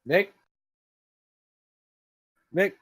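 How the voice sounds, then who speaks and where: A man's voice: drawn-out words, fairly bright tone, no room sound, studio quality. A young man hosting a casual comedy podcast, rambling and joking at his own expense. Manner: goofy, rambling, self-deprecating jokes. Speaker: podcast host.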